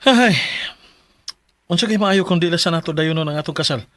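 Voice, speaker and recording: A man's voice: a little high, brisk, a bit bright, dry recording, studio quality, close to an everyday voice. A man with a Filipino accent playing a bewildered young groom-to-be in a radio drama. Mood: concerned, practical. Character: bewildered young groom-to-be, trying to fix things.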